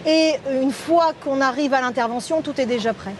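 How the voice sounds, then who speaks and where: A woman dentist, a guest on a talk show, explaining her work with frequent pauses as she searches for words. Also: high-pitched, noisy background. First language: French. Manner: hesitant, searching for French words. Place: outdoors at a café terrace.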